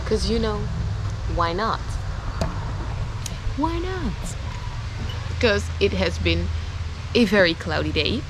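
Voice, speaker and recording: A woman travel vlogger chatting casually to the camera, slightly croaky from just waking up, at a holiday resort. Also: medium pitch, takes it slowly, pauses often, background noise. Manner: chatty, relaxed. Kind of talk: casual vlog talk to camera.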